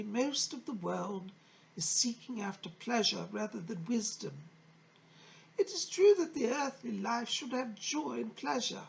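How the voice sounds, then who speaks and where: A woman's voice: on the high side, creaky, rough recording. Woman channelling Rose, an effusive, swooping lady spirit. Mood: effusive, joyful. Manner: theatrical, gushing, genteel, endearing address. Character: Rose, effusive, motherly female spirit from the early 1900s.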